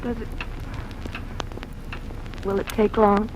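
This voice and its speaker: A woman's voice: medium pitch, level tone, takes it slowly, muffled recording, close to an everyday voice. Actress doing a scared hesitant woman plotting in an old 1940s radio thriller, noisy vintage recording. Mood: horrified, afraid. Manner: hesitant, anxious, faltering. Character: frightened, hesitant young niece plotting with her partner. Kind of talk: 1940s radio drama acting.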